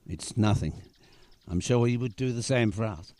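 An actor in a radio play voicing a kindly, whimsical father and struggling painter who gently admonishes as he talks. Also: on the low side, normal speed, close to an everyday voice. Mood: awkward, kindly.

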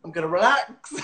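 A man's voice: very high-pitched, a bit bright. Guy in his 30s hosting a video call chat from home, giggly, lots of um and like. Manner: chatty and giggly, lots of fillers and restarts. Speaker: host. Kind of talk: casual video-call interview. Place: small room indoors at home. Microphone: webcam on a video call.

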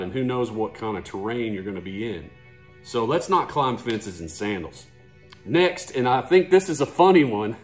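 Man in his forties, mid-pitched voice, casual and folksy, talking to camera at home, faint music behind. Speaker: fishing vlogger, host. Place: living room at home.

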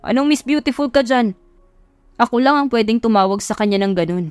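A woman's voice: a little high, animated, a little fast, darkish tone, clean tone, dry recording, faint music behind, natural voice. Woman voicing cocky rich young bachelor. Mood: possessive, bossy. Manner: boastful, bossy.

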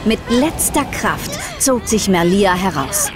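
A woman narrates a children's audio drama from a script. The delivery is animated, at a middle pitch, with music clearly underneath. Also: takes it slowly.

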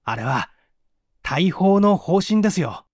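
A man's voice: high voice, very animated, takes it slowly, a little mellow, no echo, studio quality, full character voice. A man playing a bold, earnest researcher who speaks urgently and persuasively in an audiobook mystery. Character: earnest, bold young male researcher-detective.

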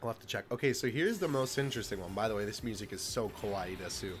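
A man's voice: on the low side, expressive, fast, a little hiss or hum. A man hosting a casual gaming news chat. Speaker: host.

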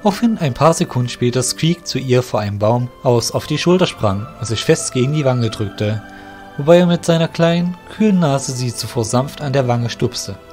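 A man narrating an audio drama in a steady, measured way. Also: medium pitch, soft background music.

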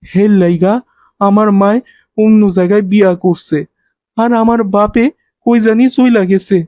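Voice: medium pitch, steady tone, unhurried, warm tone, no room sound, studio quality, natural voice. An actor voicing a poor, humble street boy in a cartoon, speaking a colloquial rural Bangladeshi dialect. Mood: plaintive. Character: poor abandoned street boy working as helper. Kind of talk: cartoon voice acting.

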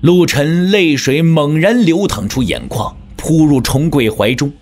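A man's voice: a little high, measured pace. A man narrating a martial-arts story in a theatrical, scripted storytelling style. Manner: theatrical, expressive.

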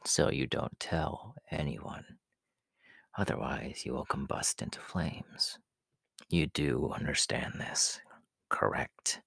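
A man voicing a menacing, haughty demon king in an ASMR audio roleplay, slow and imperious, in a gravelly, breathy half-whisper. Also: very deep voice, fairly bright tone, dry recording, full character voice. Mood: cold threatening. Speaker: voice actor.

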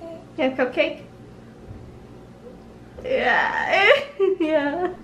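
A woman's voice: high-pitched, extremely slow, a bit bright, highs cut off. A young woman chatting casually with small children at home, coaxing them in short playful phrases. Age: young adult. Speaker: family vlogger and mother. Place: indoors at home, kitchen. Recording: roomy home recording, distant mic, faint noise.

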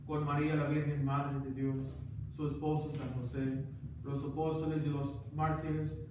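Male priest, 30s, steady recited prayer; boomy small chapel, distant camera mic. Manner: steady recited prayer, chants some parts. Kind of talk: liturgical prayer at Mass. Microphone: far camera. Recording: echoey chapel, distant mic, background hiss.

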